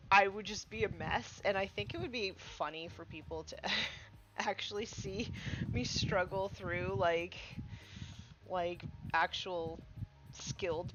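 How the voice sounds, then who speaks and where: A woman's voice: mid-range voice, dull audio, noisy background. A woman streaming herself painting miniatures, chatting casually with lots of fillers and a congested voice. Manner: rambling, many fillers like "like" and "um". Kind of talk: casual chat while painting. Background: music.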